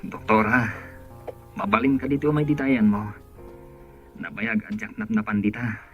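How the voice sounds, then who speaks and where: A man's voice: lowish voice, normal speed, lo-fi sound, natural voice. An actor with a northern Philippine accent playing a moody, weary male patient with a subdued, dry manner in a radio drama. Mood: subdued, apologetic. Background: music.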